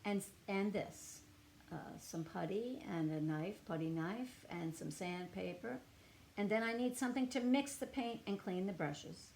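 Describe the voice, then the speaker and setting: Fussy picture-book house painter, insistent and loud, put on by a woman reading aloud, faint hiss behind. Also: mid-range voice, a little slow, gravelly. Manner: emphatic, insistent.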